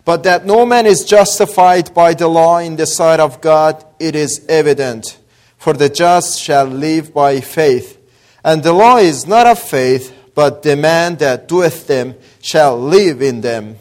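A man with a mix of Azeri, Persian and Australian accent, preaching as a guest with scripture reading and prayer, pausing with fillers and rising to emphatic delivery. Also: fairly high pitch. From Azerbaijani-Iranian background. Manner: builds from soft opening to raised emphatic preaching; hesitant fillers between phrases.

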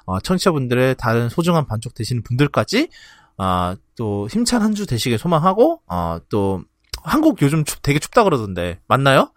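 A man hosting a podcast in a casual, halting way, often clearing his throat between phrases. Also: mid-range voice, wildly swooping pitch, no room sound, studio quality. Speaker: podcast host. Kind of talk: casual podcast outro and announcements.

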